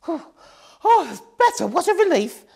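A woman's voice: very high voice, very animated, normal speed, vocal fry, a little mellow, pitched above the performer's own voice, heavily acted. A woman voicing a greedy, lazy, sulky witch in a children's storybook, whining in colloquial speech. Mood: alarmed, relieved. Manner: colloquial, whiny, dropped words.

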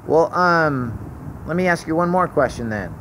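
A man's voice: on the high side, lively intonation, normal speed, a bit bright, poor audio. A man interviewing someone casually, with an encouraging, affirming manner. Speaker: interviewer behind the camera. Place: outdoors. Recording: outdoor phone recording, faint traffic noise. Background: faint vehicle noise.

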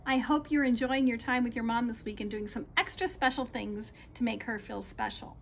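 High, sing-song American woman reading to children. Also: steady tone, normal speed, vocal fry. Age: middle-aged. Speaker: children's read-aloud host, teacher. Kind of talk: expressive picture-book read-aloud for young children. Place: small backyard patio, outdoors. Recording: light outdoor background noise.

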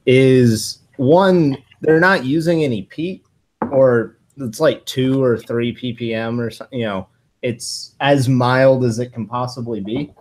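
Man chatting casually on a video call. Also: medium pitch. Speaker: guest whisky reviewer. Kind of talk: casual chat. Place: living room at home.

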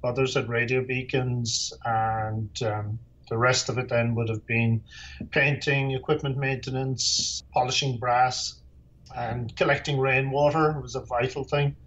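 A man's voice: mid-range voice, level tone, measured pace, a bit bright, muffled recording. A middle-aged man with an Irish accent, a former lighthouse keeper answering interview questions in a plain, matter-of-fact way with dry humor. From Ireland.

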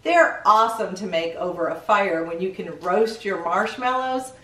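A woman's voice: mid-range voice, expressive, moderate pace, sharp tone. A middle-aged woman hosting a show for young children, chatty and playful, often putting questions to the viewers. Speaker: children's story show host. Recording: roomy echo, distant mic.

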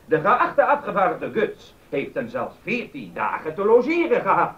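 High, swooping, sceptical councillor. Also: male voice, huge pitch swings, normal speed, distant mic. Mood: suspicious, needling. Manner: pointed, formal. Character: sceptical, needling eighteenth-century city councillor. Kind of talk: period radio-drama acting. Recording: dull sound, off the microphone.

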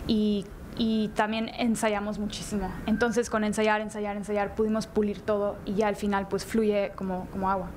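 Young female actor, Mexican accent, medium pitch, halting casual interview delivery, clear ambient noise. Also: little pitch movement, darkish tone. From Mexican, raised in Dubai. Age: young adult. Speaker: actress being interviewed. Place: indoor festival interview booth. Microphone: handheld microphone held close.

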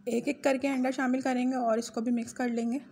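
A woman's voice: high-pitched, little pitch movement, a little fast, darkish tone, a little hiss or hum. A woman hosting a home cooking video, chatting her way through a recipe and correcting her own measurements as she goes. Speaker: home cooking channel host. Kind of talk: casual recipe walkthrough. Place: home kitchen.

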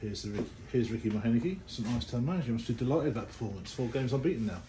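A man with a rather low voice interviews informally after a match. He talks quickly. The recording is echoey, with clear background noise. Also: lively intonation. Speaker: club interviewer. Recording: echoey, noisy room recording.

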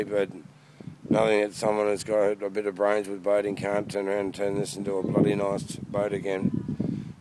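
An Australian man talks in a low voice that stays very flat. He gives a casual, blunt walkaround like a private seller, slangy and matey. It is recorded outdoors on a phone, with faint noise around him. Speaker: private seller showing his boat. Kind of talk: casual handheld video walkaround. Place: outdoors in a car park. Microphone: handheld phone.

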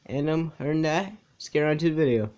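A man's voice: fairly high pitch, quick pace, heavy background noise. A young man hosting a reaction video with casual, off-the-cuff commentary. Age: young adult.

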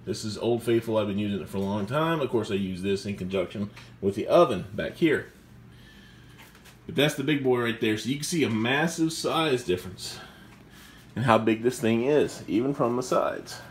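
A man's voice: on the low side, lively intonation, moderate pace. A man casually reviewing a skillet while he cooks in his home kitchen, talking in a chatty, enthusiastic way with restarts and fillers. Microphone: camera mic, speaker off screen. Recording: echoey kitchen with faint background noise.

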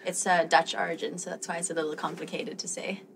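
A woman's voice: deep voice, flat delivery, quick pace, gravelly. A woman in her twenties from South Africa, chatting casually as an interview guest. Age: 20s. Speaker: guest, circus artist. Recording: noticeable background noise, room ambience.

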